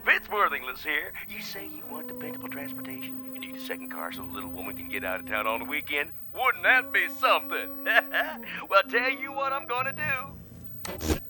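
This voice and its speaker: A man's voice: sits high, huge pitch swings, normal speed, over music, rough recording. An actor playing a brash, leering television car salesman, pushy and emphatic, heard through a TV set. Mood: pushy, jovial. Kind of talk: hard-sell television commercial patter.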